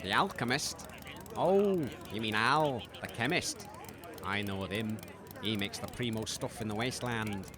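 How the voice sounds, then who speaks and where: A man playing a shifty, grudging wasteland market trader in a comic audio drama, gruff and dismissive. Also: on the low side, measured pace, close to an everyday voice. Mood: knowing, dismissive. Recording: busy outdoor crowd and vehicle sounds. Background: street crowd bustle.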